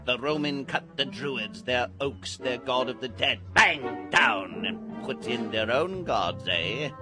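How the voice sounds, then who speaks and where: A man's voice: mid-range voice, expressive, slow. An actor playing a theatrical, mysterious older man who guides boys through history, declaiming grandly in an audio drama. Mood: grave, knowing. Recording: loud music bed behind the voice.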